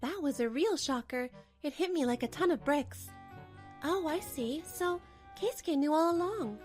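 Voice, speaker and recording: Very high-voiced woman narrating a manga dub. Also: lively intonation, a little slow, darkish tone, faint music behind. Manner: chatty, dramatic inner monologue. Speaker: narrator and wife.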